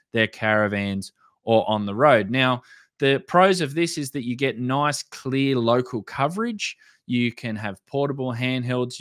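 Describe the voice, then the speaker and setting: Aussie guy in his 30s doing an explainer, mid pitch, close dry mic. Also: fairly bright tone, dry recording. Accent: Australian. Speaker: presenter of an amateur radio channel. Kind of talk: explanatory tutorial narration over slides.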